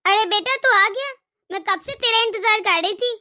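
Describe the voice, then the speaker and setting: A woman voicing a scolding, sarcastic, demanding mother-in-law in an animated family drama. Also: extremely high pitch, moderate pace, exaggerated voice. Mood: bossy, expectant. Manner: nagging, sarcastic. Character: scolding, demanding mother-in-law, fiercely partial to her daughter.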